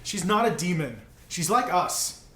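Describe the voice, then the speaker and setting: Guy playing a tabletop rpg, kinda high voice, asking stuff in character, echoey room. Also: sing-song, measured pace, a bit bright. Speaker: player. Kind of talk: in-character tabletop game chat. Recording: echoey room with background noise.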